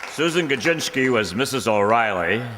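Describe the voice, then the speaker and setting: A man's voice: mid-range voice, animated, normal speed. A man announcing scripted credits as the announcer of a radio comedy show. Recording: echoey hall, crowd and clear noise.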